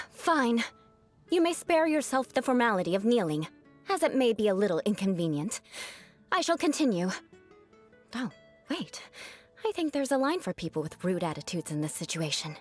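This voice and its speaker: A woman's voice: on the high side, big pitch swings, moderate pace, soft background music. A woman voicing a proud young noblewoman knight in a video game, with a formal, haughty manner. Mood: haughty, offended. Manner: haughty, formal archaic phrasing.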